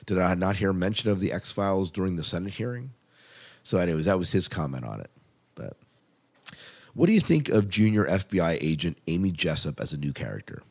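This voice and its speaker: A man's voice: low-pitched, lively intonation, brisk, creaky, husky. A man hosting a podcast, chatting casually and enthusiastically about television as a keen fan. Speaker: podcast host. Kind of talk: casual TV review chat.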